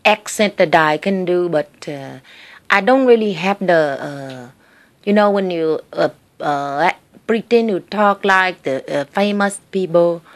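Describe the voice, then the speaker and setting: A woman plays a hesitant immigrant woman who speaks slow, broken English. She gropes for words and fills every gap with uh. The pace drags and stays careful. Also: on the low side, animated. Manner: halting, broken English, many uhs.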